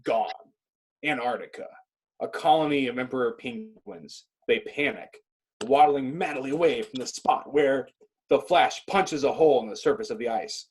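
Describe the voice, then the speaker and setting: Husky man narrating an action script. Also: mid-range voice, normal speed, poor audio. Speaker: narrator reading stage directions.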